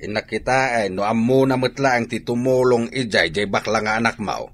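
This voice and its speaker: A man's voice: medium pitch, moderate pace, natural voice. A man with an Ilocano accent acting a harsh, short-tempered, scornful father in a radio drama. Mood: gruff, disapproving. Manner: scolding, blustering.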